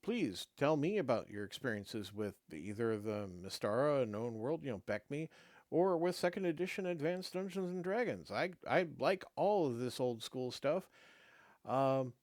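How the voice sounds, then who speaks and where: A man's voice: mid-range voice, animated, darkish tone, no room sound. A middle-aged man hosting his own show, reading a game rulebook aloud with casual asides, his voice going dry and fading. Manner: halting, many fillers and restarts between read passages. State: losing his voice, dry throat.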